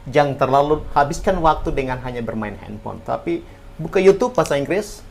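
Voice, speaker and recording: A man's voice: medium pitch, expressive, fairly quick, creaky, a little hiss or hum. A man, an English teacher and former interpreter, talking earnestly and encouragingly in a podcast interview.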